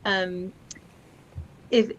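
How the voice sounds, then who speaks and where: A woman's voice: medium pitch, fairly even intonation, extremely slow, lo-fi sound, a little hiss or hum. A woman chatting casually as a tabletop game player, hesitant and tentative. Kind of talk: casual role-play game chat.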